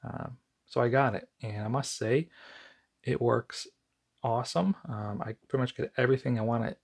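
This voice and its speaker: An American man giving a casual, unscripted product review in a conversational, somewhat halting way, with a gravelly, husky voice. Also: medium pitch, fairly even intonation.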